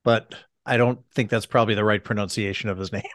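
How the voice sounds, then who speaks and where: A man chatting as a podcast guest, easygoing and joking, a little gravel in his voice, often restarting his sentences. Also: on the low side, steady tone, fast, fairly bright tone, no room sound, studio quality. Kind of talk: casual podcast chat.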